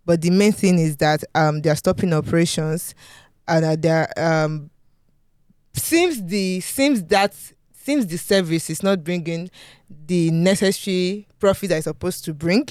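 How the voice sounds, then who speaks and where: A podcast host with a Yoruba-accented Nigerian English accent, chatting casually in a studio and going over news with many ums and pauses. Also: mid-range voice, a little mellow, clear, resonant tone, no room sound. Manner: hesitant, many ums, laughs often.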